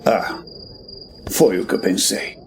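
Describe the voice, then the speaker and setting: An actor playing a grim, world-weary veteran warrior master in a fantasy audio drama, speaking bluntly and solemnly. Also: male voice, takes it slowly, slight background noise, natural voice. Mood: suspicious. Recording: background music.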